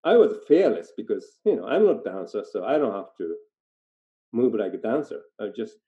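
An older man from Japan with a Japanese accent chats casually in halting, informal non-native English, telling stories as an interview guest. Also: fairly low pitch, very animated, dark tone. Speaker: interview guest, performer in dance theater. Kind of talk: casual conversational storytelling. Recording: dull, lo-fi sound.

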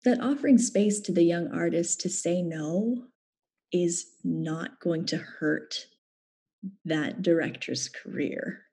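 A woman's voice: on the low side, mellow, breathy edge. A Canadian woman, a theatre actor, speaking thoughtfully as a guest in a reflective conversation. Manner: thoughtful, measured.

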